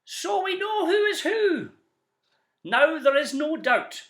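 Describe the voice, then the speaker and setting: Snooty storybook creature, acted by a man, very high-pitched, swooping, haughty, boastful, crowing. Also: big pitch swings, takes it slowly, fairly bright tone, exaggerated voice. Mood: boastful, loud. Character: snooty, boastful Sneech creatures, indignant and gloating.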